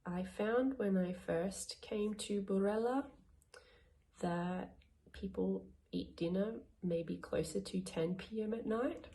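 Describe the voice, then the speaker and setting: A woman working as an English language assistant, answering interview questions slowly and carefully. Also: medium pitch, warm tone. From Australia.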